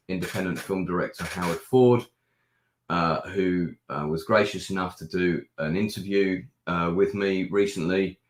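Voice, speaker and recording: A man with a croaky, ill-sounding voice, chatting casually through a film review with many pauses and fillers. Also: deep voice. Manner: rambling with many ums and restarts. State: hoarse, ill with a sore throat. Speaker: film reviewer and channel host. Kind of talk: casual live-stream review chat. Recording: echoey room.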